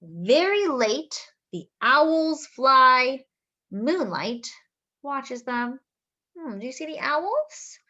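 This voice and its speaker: A woman hosting story time, reading a picture book aloud to young children in an expressive, sing-song way, with drawn-out phrases and little asides and questions. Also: sits high, lo-fi sound.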